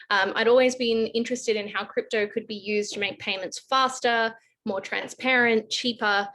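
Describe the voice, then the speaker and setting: A woman's voice: a little high, a bit bright. An Australian woman speaking as a panelist, explaining things in an articulate, conversational way. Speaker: panelist, advisory firm director. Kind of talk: panel discussion, explanatory talk.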